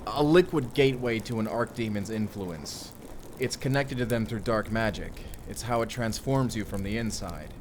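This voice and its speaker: A man playing a determined, earnest vampire slayer in a cinematic audio roleplay, explaining things in a measured way. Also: mid-range voice, natural voice. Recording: clearly noisy ambience behind the voice.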